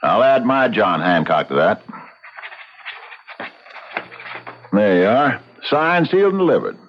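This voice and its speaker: A man's voice: on the low side, big pitch swings, normal speed, slight background noise. An American man acting a plain-spoken frontier sheriff in a radio drama, folksy and wary, with hesitant country turns of phrase. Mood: easygoing, official. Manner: folksy drawl, hesitant, colloquial. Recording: dull, lo-fi old radio recording.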